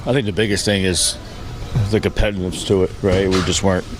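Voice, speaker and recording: A man who manages a baseball team answers reporters after a game in a halting, plain-spoken way. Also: deep voice, flat delivery, over loud music, poor audio. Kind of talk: post-game press conference answers. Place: post-game press session with reporters. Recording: faint background noise at press session.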